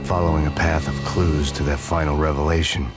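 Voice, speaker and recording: Very deep, brooding noir detective. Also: male voice, fairly quick, loud music behind the voice. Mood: brooding, resolute. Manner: deadpan, poetic, sardonic. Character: brooding, world-weary male detective narrating his own tragedy. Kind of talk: hard-boiled film noir narration.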